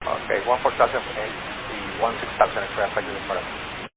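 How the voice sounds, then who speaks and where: A man speaking as a pilot in halting, hesitant phrases over a radio transmission. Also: fairly low pitch, fairly even intonation. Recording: muffled, noisy cockpit radio transmission.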